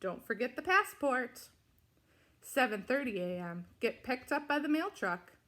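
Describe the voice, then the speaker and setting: A woman reading a children's picture book aloud in an expressive, deliberate way with dramatic emphasis. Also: high-pitched. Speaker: storybook reader.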